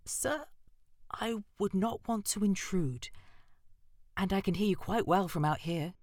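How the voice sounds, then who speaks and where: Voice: mid-range voice, unhurried, a little mellow, dry recording, clean studio sound, pitched above the performer's own voice, close to an everyday voice. A narrator voicing a timid female mouse who speaks hesitantly and politely.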